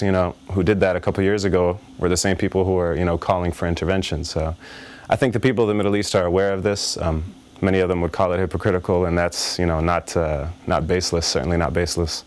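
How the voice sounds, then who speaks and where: A man with a very low voice speaks thoughtfully in an interview. He stops and restarts often, filling gaps as he weighs his words. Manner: thoughtful, many fillers and restarts. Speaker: interview guest, rapper. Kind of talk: reflective interview answers.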